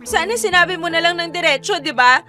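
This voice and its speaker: Sugatang dalaga, napakataas at matinis na boses. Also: female voice, very high voice, animated, fast, sharp tone, soft background music, heavily acted. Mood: surprised, questioning. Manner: emotional, accusing. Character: wounded young woman, once rejected by her college crush. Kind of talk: romantic radio drama acting.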